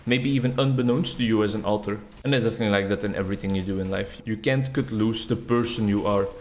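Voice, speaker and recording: Rambling young man, lowish voice. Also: fast, darkish tone, a little hiss or hum. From Netherlands or Flanders. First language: Dutch. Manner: rambling, reflective, self-deprecating. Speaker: gaming YouTuber. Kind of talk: casual commentary over gameplay.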